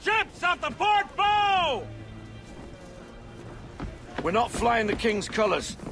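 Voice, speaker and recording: An actor playing a hearty, gruff older sailor and first mate in a video game, with salty colloquial talk. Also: male voice, extremely high pitch, very animated, takes it slowly, bright, forceful tone, faint music behind, exaggerated voice. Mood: rousing, urgent. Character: hearty older male sailor, gruff, battle-loving sea dog. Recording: noisy wind and sea ambience.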